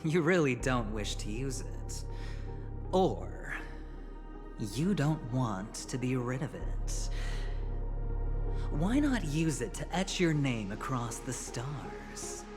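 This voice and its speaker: An actor playing a suave, scheming young gambler in a video game, with a smug, teasing, theatrical delivery full of deliberate pauses. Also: male voice, mid-range voice, sing-song. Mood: probing, tempting. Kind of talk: video game dramatic dialogue. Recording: long reverb, music behind voice. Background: clear background music.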